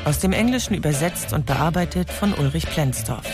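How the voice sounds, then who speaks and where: A man's voice: a little high, animated, normal speed. A man reading the scripted credits of a radio drama as an announcer. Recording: guitar music behind the voice.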